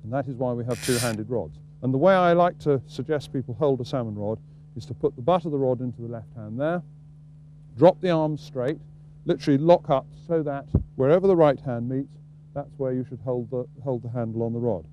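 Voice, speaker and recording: A man's voice: medium pitch, fairly quick, warm tone. Male instructor over background noise and hum. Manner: methodical, explanatory. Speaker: fly-casting instructor. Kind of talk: instructional demonstration to camera. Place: outdoors on a riverbank. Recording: noisy outdoor video sound with hum.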